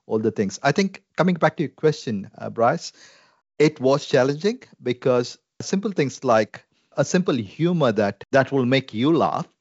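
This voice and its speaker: A man's voice: medium pitch, expressive, normal speed, darkish tone, dry recording, muffled recording. A man from India with an Indian English accent, a Salvation Army minister talking earnestly and reflectively, with frequent fillers. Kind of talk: conversational interview.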